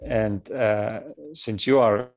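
A man in his sixties with a low, gravelly voice shares lessons from his life. He speaks in a measured, thoughtful way, pausing and hesitating often. He is heard over a remote audio call. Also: steady tone, darkish tone. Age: 60s. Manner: measured, thoughtful, with many pauses and fillers. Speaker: engineer. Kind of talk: reflective conversation.

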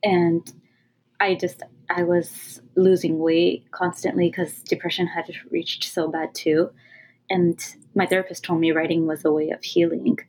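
A woman from California, a guest on a podcast, talking candidly and casually. She often stops with ums and restarts her sentences, and her voice sits fairly low. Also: flat delivery, measured pace, a little mellow, rough recording. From California, USA. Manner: talks openly with many ums and restarts, searching for words. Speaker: guest author. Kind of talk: casual interview talk.

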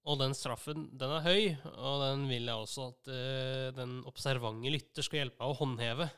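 A man hosting a casual podcast, often pausing mid-sentence as he talks. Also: medium pitch, a bit bright, clear, resonant tone. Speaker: podcast host. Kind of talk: casual conversational podcast chat.

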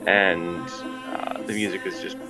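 A man's voice: low voice, fairly even intonation, takes it slowly, over music. A man co-hosting a casual podcast, rambling and profane, laughing often. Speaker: podcast co-host. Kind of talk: casual chat.

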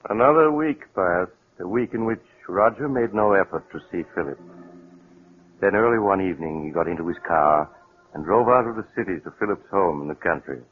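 Low-voiced man narrating vintage radio mystery. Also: brisk, narrow, tinny audio. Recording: noisy, thin vintage radio recording.

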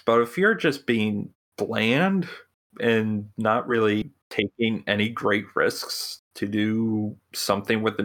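A low-voiced man talking as a podcast host in a casual review style. His delivery is very slow, blunt and broken by pauses. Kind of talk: casual film review chat.

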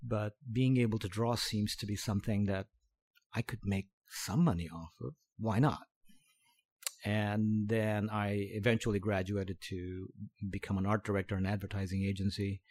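Middle-aged man, halting storyteller. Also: on the low side. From Philippines. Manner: relaxed, halting, with frequent fillers and restarts. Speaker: guest. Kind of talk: conversational storytelling.

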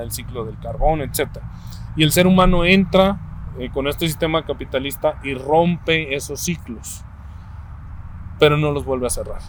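A man in his thirties with a Mexican accent, thinking aloud and explaining ideas step by step, often pausing to find the word. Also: on the high side, slight background noise. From Mexico City, Mexico. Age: 30s. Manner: thoughtful, explains step by step with frequent hesitations. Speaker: interviewee. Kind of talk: casual conversation.

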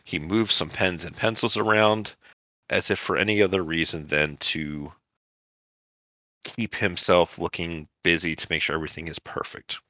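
A man's voice: deep voice, fairly even intonation, normal speed, sharp tone, no room sound, highs cut off. A man narrating a tabletop roleplaying game as the game master, setting scenes and calling for rolls.

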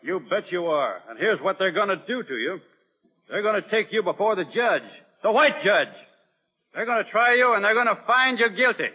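An actor playing a stern, weary, commanding army doctor with a Southern US accent in an old film drama. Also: male voice, sits high, very animated, a little fast, hardly any pauses, slightly rough, big-room sound, full character voice. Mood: fierce, commanding. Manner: forceful, clipped orders. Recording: muffled, narrow-band old film soundtrack.

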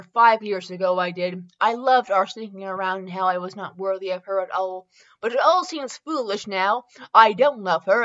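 Woman doing a theatrical transatlantic gentleman lover, lo-fi. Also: mid-range voice, pitched below the performer's own voice, natural voice. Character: rich, romantic man, smitten old-time gentleman lover.

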